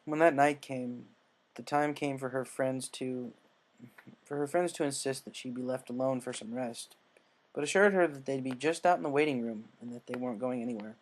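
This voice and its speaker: A man's voice: mid-range voice, normal speed. A man reading scripted fan fiction aloud as the narrator. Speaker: story narrator.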